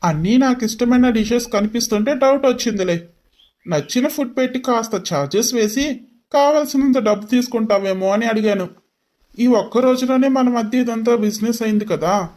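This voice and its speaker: Woman doing a scornful, sarcastic young husband, lowered voice. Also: moderate pace, darkish tone, lightly acted. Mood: irritated, sarcastic. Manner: sarcastic, drawling. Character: scornful rich young husband, cold and mocking.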